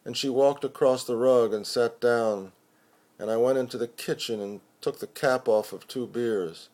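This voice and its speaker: A man giving an expressive poetry recitation with measured, deliberate phrasing. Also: medium pitch. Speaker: poetry reader.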